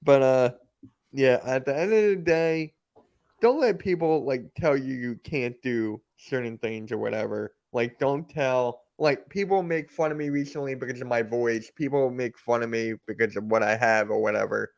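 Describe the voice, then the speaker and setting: A man speaks as a guest in a casual interview. He sounds laid-back and jokey while giving simple advice. His voice sits at an ordinary pitch for a man. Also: moderate pace, gravelly, a little mellow, dry recording, highs cut off. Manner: laid-back, joking, gives plain advice. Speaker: guest content creator.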